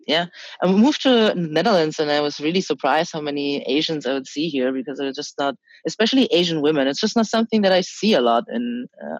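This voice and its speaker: A woman's voice: deep voice, no room sound, muffled recording. A young woman from Germany talking about her identity as an interview guest, hesitant with many restarts and fillers. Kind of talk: casual interview talk.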